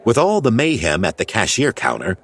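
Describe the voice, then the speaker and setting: A man narrating a children's storybook in an animated, expressive way. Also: lowish voice, a little fast, breathy edge. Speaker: audiobook narrator.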